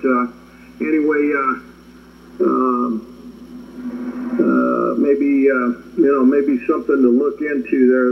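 A man's voice: medium pitch, gravelly. A man talks casually over shortwave radio in a hesitant, rambling way. His voice comes through dull and band-limited, with light static behind it. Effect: shortwave radio transmission. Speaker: amateur radio operator. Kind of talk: casual radio contact chat. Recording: muffled ham radio audio, faint static.

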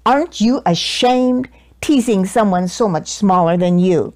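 A woman's voice: medium pitch, a little slow, darkish tone, lightly acted. A woman voicing a kindly but strict storybook lady who takes in stray pets, prim and firm in a motherly way.

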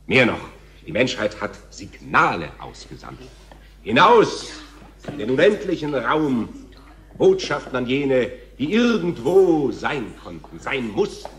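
An actor playing a showy, pompous TV talk show host in a theatrical radio drama style. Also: male voice, mid-range voice, very animated, fairly bright tone. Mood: grandiose. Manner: theatrical, grandiose, dramatic pauses.

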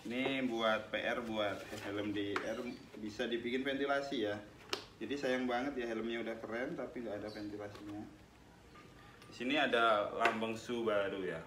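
A man's voice: fairly low pitch, fairly even intonation, moderate pace. A young man hosting a casual helmet review vlog, chatting to his viewers as he takes the helmet apart. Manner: chatty, addresses viewers as guys. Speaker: motovlog host reviewing a helmet. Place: small room with tiled wall, indoors. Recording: echoey room, noisy background, mic at a distance.

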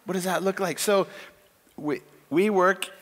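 A middle-aged man preaching on a large church stage, emphatic and animated, acting out quoted lines as he tells a story. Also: high voice, a little slow. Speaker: conference speaker. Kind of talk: sermon with storytelling. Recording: long hall reverb.

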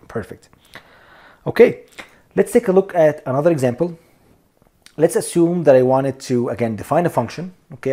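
A man with a mellow, relaxed voice walks viewers through code in a screencast lesson. He talks them through each step, checking in with "okay?" and "here we go," and calls his audience "you guys.". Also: medium pitch. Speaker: programming instructor. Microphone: voice-over on screen recording.

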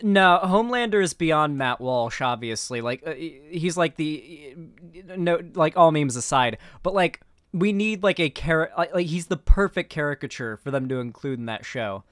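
Young guy streamer reacting casually, kinda high voice, opinionated, dry room sound. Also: lively intonation, normal speed, fairly bright tone, no room sound. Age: young adult. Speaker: political commentary streamer.

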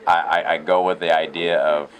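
Deep-voiced man musing in a casual interview. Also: normal speed. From United States. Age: 30s. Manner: relaxed and reflective, many fillers and restarts. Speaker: actor. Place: open shed with concrete pillars.

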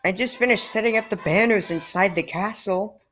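A woman's voice: mid-range voice, normal speed, fairly bright tone, soft background music. A woman giving casual, sarcastic commentary over a game, reading its dialogue aloud. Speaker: gameplay commentator. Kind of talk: casual let's play commentary.

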